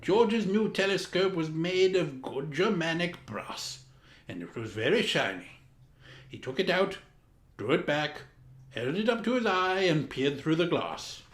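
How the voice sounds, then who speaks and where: A man narrates a children's story with grand, pompous formality. His voice sits a little high and swings widely in pitch for dramatic emphasis. The recording is roomy, with clear echo around the voice. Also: very animated, measured pace. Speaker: storyteller, host of a story time. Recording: roomy, echoing room sound.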